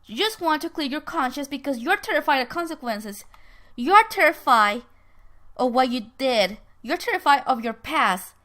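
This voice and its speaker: A storyteller woman plays a scornful former girlfriend in a spoken drama. She is sarcastic and theatrical, pitched fairly high, and leans slowly on her words to needle the man she once loved. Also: animated, a bit bright. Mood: scornful, angry. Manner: sarcastic, theatrical, drawn-out emphasis. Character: bitter, taunting woman confronting her former lover.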